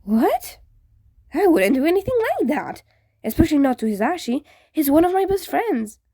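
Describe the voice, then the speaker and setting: Woman voicing an animated, higher-pitched teenage ghost boy. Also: moderate pace, dry recording, studio quality. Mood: offended. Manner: animated, casual teen talk. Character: friendly teenage boy ghost, confused and indignant.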